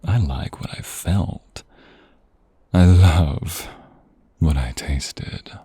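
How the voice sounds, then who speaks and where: Man playing a very deep, husky vampire seducer. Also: dark tone, no room sound, exaggerated voice. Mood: seductive, possessive. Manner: slow teasing murmur, often breathy whispers, sighs. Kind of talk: intimate ASMR romance roleplay.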